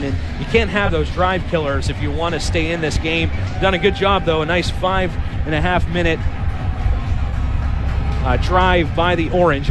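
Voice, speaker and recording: Casting: American male radio play-by-play announcer, high-pitched voice, calling live action. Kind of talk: live sports commentary.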